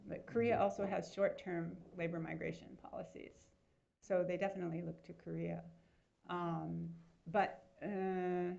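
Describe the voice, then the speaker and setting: A woman with a low, gravelly voice fields questions at a seminar. She explains at length and checks in with her listeners with little tag questions. The sound is dull and lo-fi. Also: a little mellow. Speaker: invited researcher answering audience questions. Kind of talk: seminar Q&A answer.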